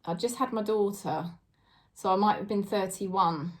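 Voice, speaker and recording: A woman in her forties with a British accent, giving a casual tarot reading to camera and talking in a chatty, hesitant way with many fillers and soft laughs. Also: medium pitch, unhurried. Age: 40s. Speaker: tarot reader.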